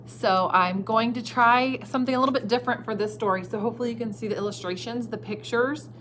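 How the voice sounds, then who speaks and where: A woman reading a children's picture book aloud from home, warm and expressive, asking her young listeners questions. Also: fairly high pitch, quick pace, slight background noise. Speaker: storyteller. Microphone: phone camera.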